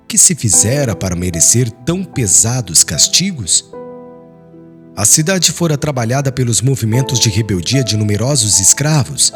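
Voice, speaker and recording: Man narrating an audiobook, solemn and formal, very lively intonation, soft music behind. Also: fairly low pitch. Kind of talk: dramatic literary narration. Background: background music.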